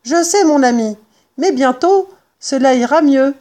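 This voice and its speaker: A woman's voice: high voice, expressive, measured pace, dark tone, voice pushed up. A woman voicing a tender, anxious mother fox in a storybook, in an emotive way. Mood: tender, consoling.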